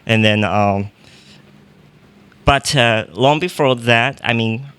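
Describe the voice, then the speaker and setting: A man's voice: medium pitch, takes it slowly, a bit bright, smooth. A man from Taiwan, a professor guest on a casual podcast, talking with many fillers and restarts.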